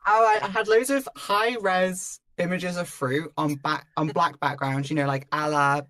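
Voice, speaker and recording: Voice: mid-range voice, steady tone, measured pace, bright, forceful tone, dry recording. An audio drama creator chatting casually on a panel, explaining their shows in a rambling, discursive way.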